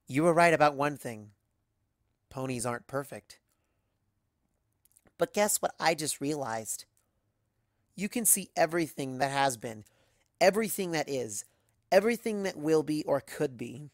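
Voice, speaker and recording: A young female pony heroine, voiced by a story reader stands her ground in a dramatic tale. The delivery is earnest and determined, with deliberate, emphatic phrases at a medium pitch in a dry recording. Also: expressive, no echo. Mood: defiant.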